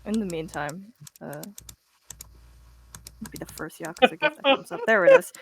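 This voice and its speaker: A woman's voice: on the low side, sing-song, moderate pace, gravelly, slight background noise, low-quality recording. A woman playing a tabletop game, joking casually with the group.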